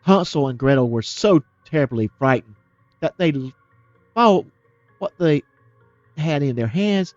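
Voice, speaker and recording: A man reading a fairytale aloud as a storyteller, steady and plain, now and then restarting a word. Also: a little high, unhurried, pauses often, no room sound, dull audio, faint music behind.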